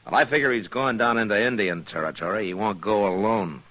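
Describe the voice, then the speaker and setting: An American actor playing a stern, principled Old West marshal in a terse, deliberate radio western style. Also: male voice, fairly low pitch, pushed and bright, poor audio. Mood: grim, resolved. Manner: terse, deliberate, plain-spoken. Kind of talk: 1950s radio western acting. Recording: vintage 1950s radio recording.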